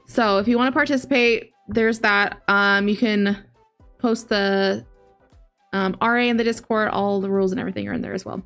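A woman's voice: medium pitch, fairly even intonation, moderate pace, a bit bright, soft background music. A woman in her late twenties chatting casually as a livestream host, talking to viewers and reading chat aloud into a close desk microphone. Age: late 20s. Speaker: video game streamer, host. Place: home living area, indoors. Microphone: large desk microphone up close, headset on.

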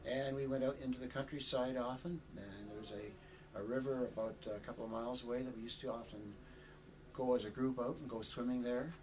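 An older man giving an oral history interview, talking in a rambling, hesitant way with many pauses and frequent chuckles. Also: mid-range voice, fairly even intonation, low-quality recording. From Canada. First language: Chinese. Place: indoor studio with flag backdrop.

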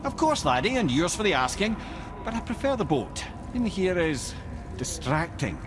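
An actor playing a wise, wry old storyteller with a Scottish accent in a video game, measured and lyrical. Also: male voice, sits high, sing-song, noisy background. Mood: warm, fond. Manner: measured, lyrical, fond toward the young listener.

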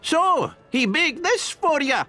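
An actor playing a cheerful old Scottish duck uncle in an animated video game. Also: male voice, extremely high pitch, takes it slowly, exaggerated voice. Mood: hearty, genial.

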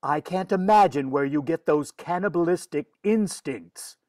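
A woman's voice: low voice, moderate pace, warm tone, no echo, studio quality, natural voice. A woman playing a firm, wry, long-suffering father in a 1940s radio comedy. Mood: exasperated, coaxing. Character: exasperated, firm father of a mischievous girl.

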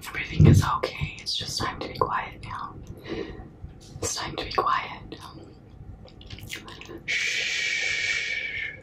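A young woman whispering very close to the mic in a breathy, soothing, repetitive ASMR comforting roleplay, with soft shushing. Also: slow pace, pauses often, low-quality recording. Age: young adult. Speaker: ASMR performer. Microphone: very close to the mouth.

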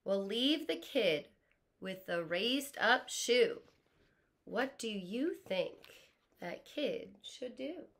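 Lively, high-voiced woman teacher reading aloud. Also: very slow. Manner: expressive, explains simply and asks questions. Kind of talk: picture book read-aloud with explanatory asides. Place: living room at home.

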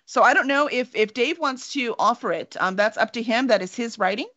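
A woman's voice: fairly high pitch, expressive, a little fast, a bit bright. A woman hosting a casual chat with her tabletop players over a video call, rambling and self-deprecating. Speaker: game master and show host. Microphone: video call webcam. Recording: dull lo-fi webcam audio.

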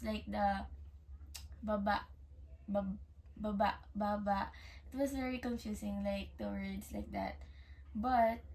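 A woman's voice: medium pitch, vocal fry, a little mellow, recorded from a distance, low-quality recording. A young woman from Canada, a working student, answering interview questions in a hesitant way with many fillers and restarts, mixing English and Tagalog. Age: young adult.

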